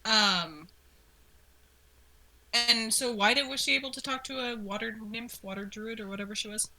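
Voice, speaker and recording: A woman's voice: fairly high pitch, moderate pace, sharp tone, poor audio. A woman co-hosting a casual commentary, informal and enthusiastic as she reacts and asks questions. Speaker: co-host asking questions about the series.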